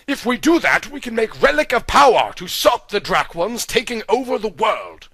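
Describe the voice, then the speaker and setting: A man voicing a bossy old wizard who gives orders loudly and urgently. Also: high voice, big pitch swings, moderate pace, slightly rough, no echo, pitched above the performer's own voice, exaggerated voice. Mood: commanding. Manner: loud, commanding, urgent.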